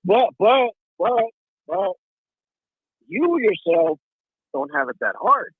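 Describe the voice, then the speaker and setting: A man's voice: high-pitched, big pitch swings, slow, breathy edge, no echo. A man from Mexico arguing heatedly on a live stream, stammering, repeating words and pressing with "right?". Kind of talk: heated argument. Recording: thin phone-like line.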